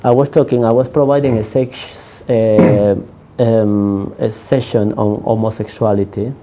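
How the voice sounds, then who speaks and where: A man working as a counselor whose first language is not English, answering interview questions in a halting way, with many restarts. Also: fairly low pitch, fairly even intonation, warm tone.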